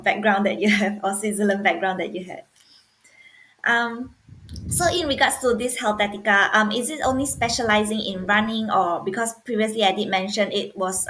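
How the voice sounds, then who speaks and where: A woman's voice: medium pitch, a bit bright, low-quality recording. A woman hosting a podcast, interviewing a guest and backing him up with short acknowledgements. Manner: attentive, frequent short backchannels. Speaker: podcast host.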